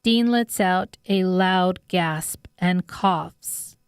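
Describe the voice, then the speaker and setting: Unhurried, clear-voiced woman narrating. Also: lowish voice, dry recording, studio quality. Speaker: author narrating her own audiobook. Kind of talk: scripted narration.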